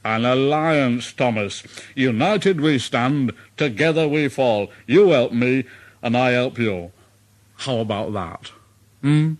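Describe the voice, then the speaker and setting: A man voicing a big, proud, grown express engine in a storybook reading, in a deep, chuckling, hearty voice. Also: animated, takes it slowly. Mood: friendly.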